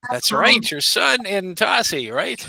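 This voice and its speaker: A man teaching a basic English class over a video call, patiently modeling phrases slowly for his students. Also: high voice, expressive, vocal fry, sharp tone, slightly rough, no echo. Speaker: ESL teacher. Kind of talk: encouraging classroom instruction.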